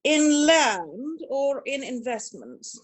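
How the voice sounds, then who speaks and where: A woman playing an imperious, snobbish older aristocratic mother in a theatrical comedy reading, speaking with pompous, deliberate emphasis. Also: high voice, very animated, exaggerated voice. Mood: lofty, opinionated. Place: virtual performance from home in isolation.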